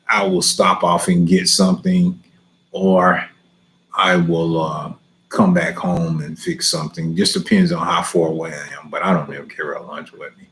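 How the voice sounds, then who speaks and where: Deep-voiced easygoing Texas man. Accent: Texas Southern. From Houston, Texas. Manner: easygoing, laughs often, reads questions aloud then answers. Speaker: lawn care business owner answering viewer questions. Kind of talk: casual live Q&A chat.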